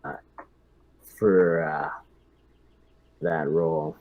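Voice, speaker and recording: A man playing in a tabletop roleplaying game, chatting casually with halting, searching phrasing. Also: rumbling low, fairly even intonation, no echo, narrow, tinny audio. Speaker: player acting as squad lieutenant.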